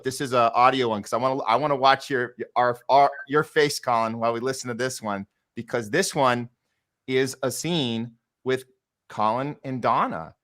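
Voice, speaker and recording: A man's voice: medium pitch, fairly bright tone. A man hosting a podcast casually and effusively, often doubling back and repeating words as he goes. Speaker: host.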